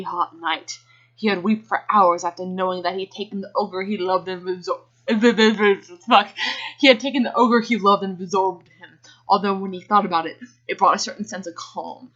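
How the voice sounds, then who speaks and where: A woman reads fan fiction aloud in an amateur dramatic style, with casual asides. Her voice sits at a middling pitch. The home recording is slightly dull and lo-fi. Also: fairly bright tone. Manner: giggly, often breaks off to react. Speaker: reader.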